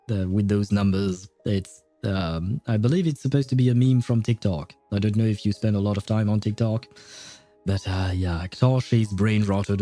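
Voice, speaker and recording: A man's voice: fairly low pitch, mellow. A man chatting casually on a game livestream, hesitant, with chuckling asides to his viewers. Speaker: livestream gamer reading chat and game dialogue aloud. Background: faint game music.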